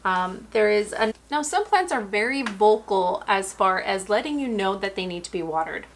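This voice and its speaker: A woman hosts a houseplant care lesson, talking straight to her viewers in a chatty, unhurried way. Her voice is wearing out and is starting to go. She sits right in the middle of the usual female pitch range. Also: slight background noise. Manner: chatty, relaxed, and explanatory, addressing viewers directly. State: losing her voice. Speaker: houseplant care channel host. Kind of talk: casual instructional talk.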